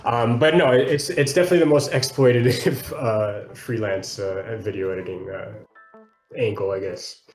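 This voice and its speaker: A man's voice: lowish voice, fairly even intonation, moderate pace. Man explaining in a roomy space. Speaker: podcast co-host; freelance video editor. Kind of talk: casual book discussion, explaining. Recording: roomy echo, faint music underneath.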